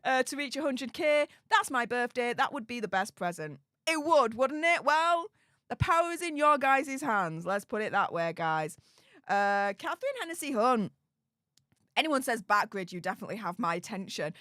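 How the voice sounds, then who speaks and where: A woman's voice: a little high, lively intonation, normal speed, fairly bright tone, dry recording, studio quality. A woman with a Yorkshire accent, hosting a casual livestream and chatting as she reads out viewer comments. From Yorkshire, England. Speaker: YouTube host reading live chat.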